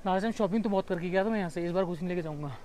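A man's voice: sits high, rapid-fire, darkish tone, slight background noise. A young man vlogging casually outdoors on a clip-on mic, chatty and mixing English words into his talk. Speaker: travel vlogger. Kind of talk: casual vlog chat while walking. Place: outdoors in a busy town market.

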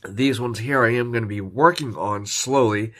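A man's voice: medium pitch, normal speed. Brief: man, stuffed-up from a cold, laid-back home vlogger talking through his coins, lots of ums. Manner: relaxed, rambling, frequent fillers. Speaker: coin collecting vlogger. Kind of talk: casual show-and-tell narration.

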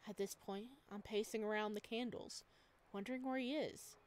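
A woman reading a visual novel aloud at home, with quick joking asides between lines of narration. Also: medium pitch, fairly even intonation. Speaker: game streamer.

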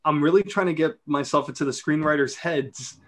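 A man's voice: fairly high pitch, brisk. A man chatting casually and critically in a group discussion.

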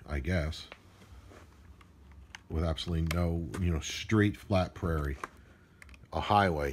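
A man's voice: low-pitched, a little mellow. A man giving casual off-the-cuff unboxing commentary in a grumbling, sarcastic way, recorded on a handheld phone. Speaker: unboxing vlogger. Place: indoors at a wooden desk. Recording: noisy handheld recording with handling clicks.

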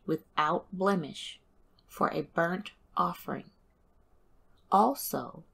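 A woman reads scripture as the host of a devotional program. Her voice sits a little low, and she goes very slowly. Each phrase is measured and deliberate, set apart by pauses.

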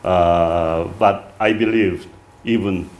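A middle-aged man with a strong Korean accent giving an academic lecture at a podium, reading from a manuscript with many halting "uh" fillers. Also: low-pitched, a little mellow. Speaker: visiting lecturer. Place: wood-paneled reception room, indoors.

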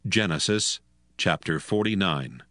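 A man narrating scripture from a script in a measured, formal manner. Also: low-pitched, expressive, bright, forceful tone, no echo, studio quality. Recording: music bed under the voice.

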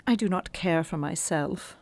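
An actress playing a sweet, selfless young Victorian gentlewoman confiding in someone in a radio play. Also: lowish voice, a little slow. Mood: sweet, weary. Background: music.

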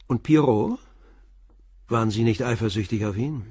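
Radio crime drama: male actor as a terse, persistent inspector, husky voice. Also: mid-range voice, normal speed, a little mellow, no echo, clean studio sound, lightly acted. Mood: probing. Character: calm probing male police inspector. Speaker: police inspector. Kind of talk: radio play acting.

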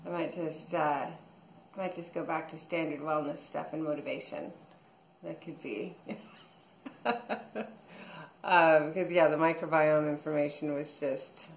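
A woman in her thirties talks through her day in a relaxed video diary, drifting from thought to thought with plenty of ums and restarts. Her voice sits a little low, and her pace is slow and unhurried. The small home room around her sounds echoey. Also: a little hiss or hum. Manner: rambling, many fillers and restarts. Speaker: vlogger. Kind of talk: casual daily video diary.

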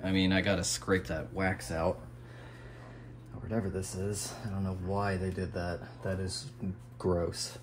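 An American man narrating his hobby restoration work casually and unscripted, musing out loud as he goes. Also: deep voice, flat delivery, measured pace. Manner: musing, thinking aloud. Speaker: hobbyist radio restorer presenting a video. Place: small indoor home workshop. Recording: faint room noise.

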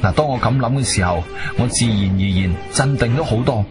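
A man acting as an intrepid adventurer, telling his story in expressive, suspenseful Cantonese radio-drama style. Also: mid-range voice, a little fast, natural voice. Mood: tense, suspenseful. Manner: expressive, suspenseful, colloquial delivery. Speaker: narrator and lead actor. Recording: background music under the voice.

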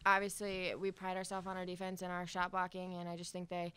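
A young adult woman college soccer player giving brief, low-key answers at a press conference. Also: completely flat delivery, a little fast, fairly bright tone, clear, resonant tone.

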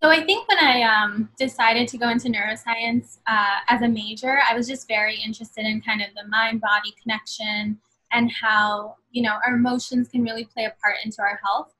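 Young woman guest, bright and a little high, earnest, talking over a webcam from home. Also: fairly even intonation, a little fast, rough recording. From Bangladesh, raised in New York City. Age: 20s. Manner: earnest, many fillers and hedges. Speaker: guest, medical student. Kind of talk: casual interview over video call. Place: small room at home. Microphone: video call webcam.

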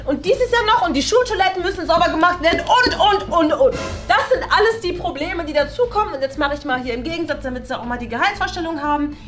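A woman's voice: extremely high pitch, lively intonation, fast talker, hardly any pauses, a bit bright, soft background music. A woman acting a condescending, exasperated former headmistress in a comedy sketch, lecturing pointedly. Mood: agitated, exasperated. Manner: pointed, lecturing, ends phrases with "ne?". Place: small bright meeting room.

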